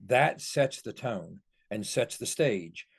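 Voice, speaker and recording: A man's voice: mid-range voice, animated, slow, breathy edge. A man giving sports analysis on a podcast in an emphatic, explanatory way.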